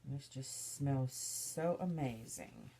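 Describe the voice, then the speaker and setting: A woman making soap, chatting through each step in a casual demonstration, her voice slightly stuffy and hoarse from a cold. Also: a bass voice, big pitch swings, slow, dark tone. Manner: chatty, narrating each step as she works.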